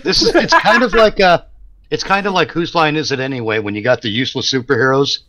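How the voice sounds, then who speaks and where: A man's voice: a little high, expressive, fast talker, sharp tone. A man trading sarcastic wisecracks in casual livestream banter.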